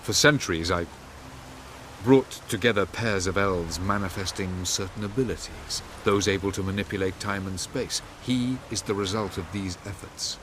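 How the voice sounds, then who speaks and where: An actor voicing a haughty, calculating old elf sage in a video game, speaking in a formal, imperious and measured way. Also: male voice, low voice, fairly bright tone, slight background noise. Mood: proud. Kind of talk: dramatic video game dialogue.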